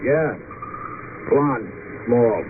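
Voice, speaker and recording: An actor playing a guarded, laconic cab driver in an old-time radio drama, answering in clipped phrases. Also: male voice, medium pitch, very slow. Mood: guarded, terse. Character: terse, guarded working-class male cab driver. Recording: tinny old radio recording, clear background noise.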